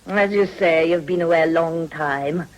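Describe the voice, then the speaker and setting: A woman's voice: deep voice, moderate pace, lightly acted, poor audio. An actress playing a worldly upper-class British society lady in a period radio drama, gracious, teasing and inquisitive. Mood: gracious, affectionate.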